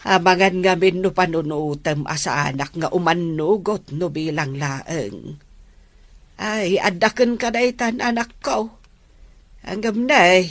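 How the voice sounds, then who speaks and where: A woman's voice: on the low side, no room sound. A woman playing a caring middle-aged mother in a radio drama, giving tender, earnest counsel. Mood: tender, advising. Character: gentle, caring middle-aged mother advising her daughter.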